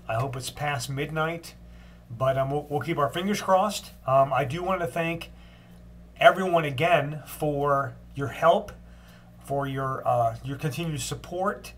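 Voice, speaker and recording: Older man, congested from a cold, fairly high voice; plain unhurried diary-style update. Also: fairly bright tone, a little hiss or hum. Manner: plain, unhurried talk with frequent ums and restarts. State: stuffed up from a cold. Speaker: long-distance walker giving a daily update. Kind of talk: casual video diary.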